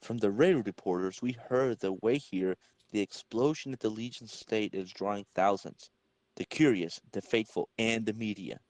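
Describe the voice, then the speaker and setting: A man's voice: on the low side, moderate pace, a little mellow, no room sound, close to an everyday voice, low-quality recording. Man reading a wry comic-book hero, dry sound. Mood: calm, wry. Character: wisecracking undercover hero, level-headed young man.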